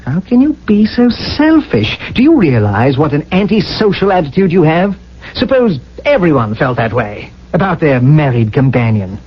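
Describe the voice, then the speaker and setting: An actor playing a smug, condescending rationalist from a regimented future in a radio drama, speaking in a glib, theatrical manner. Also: male voice, a little high, sing-song, normal speed, a little hiss or hum. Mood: exasperated, smug. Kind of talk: 1980 radio drama acting. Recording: dull vintage radio, faint music.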